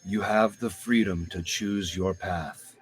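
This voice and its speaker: An actor playing a calm, solemn bishop in a fantasy video game, speaking in a formal, measured, prophetic manner. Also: male voice, sits low.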